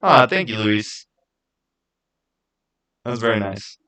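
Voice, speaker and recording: A man's voice: fairly low pitch, very animated, slow pace, bright, forceful tone. A man hosting a live stream, talking casually with viewers in an emphatic, insistent way and often repeating his phrases. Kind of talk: casual live chat with viewers, arguing game theories.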